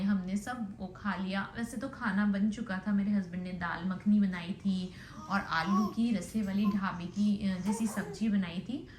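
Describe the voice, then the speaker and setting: A young woman telling about her day in a casual, rambling audio diary, conversational and mixing in English words. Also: mid-range voice. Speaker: vlogger. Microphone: phone set down nearby. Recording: roomy echo with background noise.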